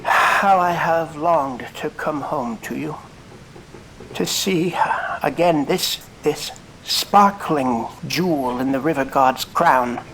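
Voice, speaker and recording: An actor playing a celebrated explorer in a fantasy audio drama, giving grandiose, halting, theatrical speeches to an adoring crowd. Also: male voice, on the high side, fairly bright tone, a little hiss or hum, poor audio. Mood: grandiose, wistful.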